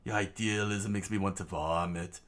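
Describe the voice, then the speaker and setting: A cruel male villain voiced by a man from an adventure story, low in pitch. He sounds menacing and contemptuous of idealism, sure of his own power. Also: steady tone, moderate pace, fairly bright tone.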